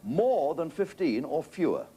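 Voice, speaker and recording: Joking game show host with lively pitch. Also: male voice, a little slow. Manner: joking, teasing, punchline-driven. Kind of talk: quick-fire comic patter. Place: television studio. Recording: TV studio with loud audience. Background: audience laughter and studio noise.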